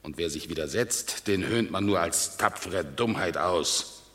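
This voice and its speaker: An actor playing a grim Roman general who brings bad news, declaiming bitterly in a classical verse radio drama. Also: male voice, low-pitched, a little slow, fairly bright tone.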